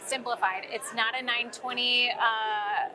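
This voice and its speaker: A woman's voice: on the high side, fairly even intonation, unhurried, poor audio. A woman giving an informal, conversational product explanation as an interview guest. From St. Louis, United States. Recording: crowd chatter at busy event. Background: crowd at a sales meeting.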